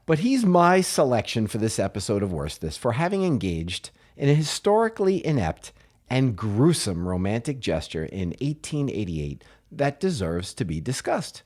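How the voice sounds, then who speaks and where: A man's voice: medium pitch, big pitch swings, moderate pace, a little mellow. Playful American man telling a history story. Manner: playful, hammy storytelling with jokey asides. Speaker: podcast co-host presenting a story. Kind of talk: comedic history podcast chat.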